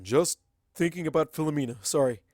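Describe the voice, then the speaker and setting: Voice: medium pitch, fairly quick, a little mellow, dry recording, clean studio sound, lightly acted. A narrator voicing a scholarly, defensive adult male pony in a storybook reading. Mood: distracted.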